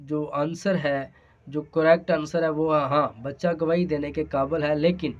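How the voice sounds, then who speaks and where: A man's voice: on the high side, fairly even intonation, moderate pace. A man with a Pakistani accent giving an explanatory law lesson, speaking hesitantly and repeating his phrases. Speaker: law lecturer. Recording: dull, lo-fi sound.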